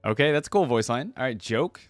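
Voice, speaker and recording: Casting: male reaction host, medium pitch, informal and chatty, dry close mic. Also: lively intonation, brisk, no room sound, soft background music. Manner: informal, conversational. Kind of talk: casual reaction commentary.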